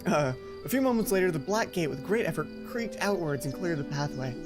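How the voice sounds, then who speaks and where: A woman with a lowish voice plays through a story game, reading narration aloud. She keeps interrupting herself with playful, chatty asides. Game music plays clearly behind her. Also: lively intonation, a little fast. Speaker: let's play streamer. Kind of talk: reading game script aloud with casual commentary. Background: video game music.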